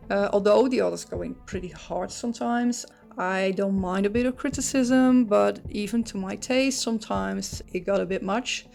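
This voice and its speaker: A woman's voice: mid-range voice, vocal fry, a little mellow. A woman from the Netherlands with a strong Dutch accent, narrating a casual book review and thinking aloud as she goes. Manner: chatty, thinking aloud with frequent ums and restarts. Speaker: true crime channel host.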